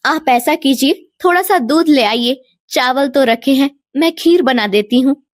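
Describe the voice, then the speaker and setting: A woman voicing a gentle, practical village wife and mother in an animated moral story. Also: high voice, unhurried.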